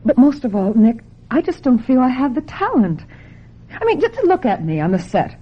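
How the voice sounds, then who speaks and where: A vulnerable young actress in a radio drama. Her voice is fairly high and very breathy, with a hesitant, plaintive, earnest delivery. The sound is slightly muffled. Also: big pitch swings, normal speed, exaggerated voice. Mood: wistful, vulnerable. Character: vulnerable young actress, wistful, resisting pressure. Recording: dull, muffled old radio drama recording.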